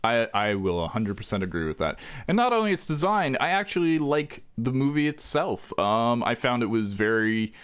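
A man's voice: mid-range voice, big pitch swings, moderate pace, hardly any pauses, a bit bright, smooth. A man co-hosting a podcast, chatting casually and agreeably, with casual swearing. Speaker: podcast co-host. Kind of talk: casual chat.

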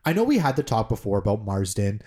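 A man co-hosting a podcast, chatting casually about movies in a rambling, excitable way. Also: fairly low pitch, fast talker. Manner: rambling, excitable, many fillers and restarts. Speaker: podcast co-host.

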